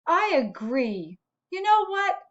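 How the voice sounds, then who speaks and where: A woman's voice: extremely high pitch, very animated, slow, fairly bright tone, highs cut off, pitched above the performer's own voice, exaggerated voice. A woman voicing a strong, good-natured elephant in a storybook, speaking in a frank, emphatic way. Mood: reassuring.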